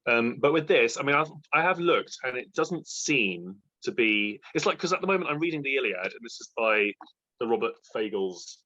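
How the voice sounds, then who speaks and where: A man's voice: mid-range voice, normal speed, lo-fi sound. A man co-hosting a casual book chat, rambling and joking as he goes. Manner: jokey, rambling, animated. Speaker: booktuber co-host.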